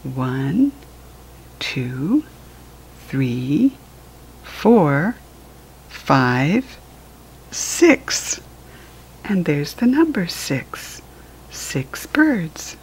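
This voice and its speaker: An American woman reading a counting book aloud to young children as a teacher, in an encouraging, sing-song, deliberate way. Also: sits low, sing-song, creaky, a little mellow, breathy edge, poor audio.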